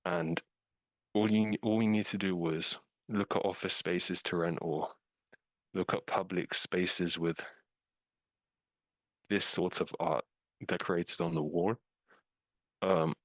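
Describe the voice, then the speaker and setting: A man's voice: sits low, dry recording. A man with a British accent, a scam investigator casually walking through a case in a webinar over a video call, loose with fillers. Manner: informal, uses fillers like "um" and "like". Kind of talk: casual walkthrough of a case with slides.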